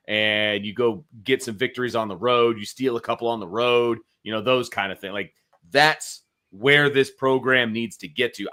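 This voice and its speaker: Bright-voiced man giving emphatic sports opinions. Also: mid-range voice. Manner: emphatic, colloquial, repeats key phrases. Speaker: sports show co-host and analyst. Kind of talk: casual opinionated sports chat.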